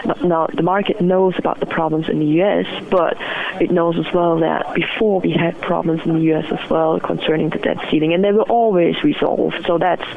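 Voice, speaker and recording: Woman analyst on a narrow phone line, creaky. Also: fairly low pitch, fairly even intonation, brisk, a crowd in the background. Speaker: currency strategist, interview guest. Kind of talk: analytical market commentary in an interview. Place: phone interview.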